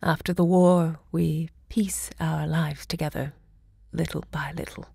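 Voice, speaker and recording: An actress playing a grand, impassioned woman who hosts a literary salon, looking back in deliberate, theatrical monologue. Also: low-pitched, level tone, gravelly, a little mellow, slightly rough, dry recording, studio quality, exaggerated voice. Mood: weary, wistful. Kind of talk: theatrical audio drama monologue.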